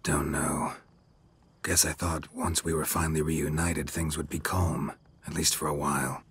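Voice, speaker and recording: An actor playing a stoic, brooding male monster hunter in a video game, terse and understated, with a husky, raspy voice. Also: little pitch movement, a little slow, background noise. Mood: brooding, worried. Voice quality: very low, husky rasp. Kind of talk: video game dialogue acting.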